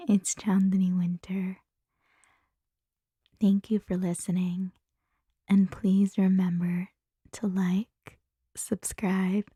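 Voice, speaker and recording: A woman hosting an ASMR channel, addressing listeners in a breathy whisper. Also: mid-range voice, mellow, no room sound, studio quality. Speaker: ASMR storyteller, channel host.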